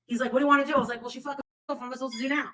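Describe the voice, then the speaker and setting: A woman's voice: sits high, fairly even intonation, racing, fairly bright tone. A woman hosting a casual livestream chat, gossipy and blunt, with frequent profanity, recorded on a distant mic.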